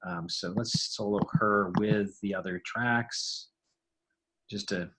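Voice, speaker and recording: Give the voice of a man walking through an informal tutorial. It sits fairly low, with a bright, forward tone over a rough, husky grain. He talks haltingly, thinking aloud as he works. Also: steady tone, poor audio. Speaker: podcast creator demonstrating sound design.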